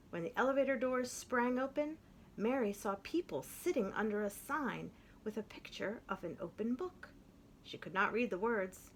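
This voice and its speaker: A woman reading a children's picture book aloud in an expressive way, slowing down to stress key phrases. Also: medium pitch, slight background noise. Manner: expressive, with slowed emphatic phrases. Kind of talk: storybook read-aloud.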